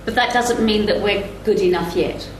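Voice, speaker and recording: A woman's voice: medium pitch, normal speed, a crowd in the background. A woman giving her view conversationally as a panellist in a live discussion. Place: live festival panel in echoey room. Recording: echoey room, faint noise.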